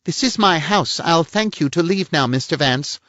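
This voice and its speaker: A man's voice: lively intonation, moderate pace, a bit bright, dry recording. A man voicing a haughty, theatrical middle-aged woman, huffy and affected in manner. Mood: cold. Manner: shrill, huffy, affected gentility. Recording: dull lo-fi, dry.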